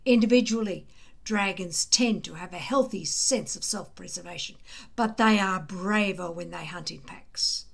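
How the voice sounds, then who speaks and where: A woman reading a children's story aloud expressively, with dramatic, deliberate emphasis. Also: medium pitch, creaky. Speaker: storybook reader.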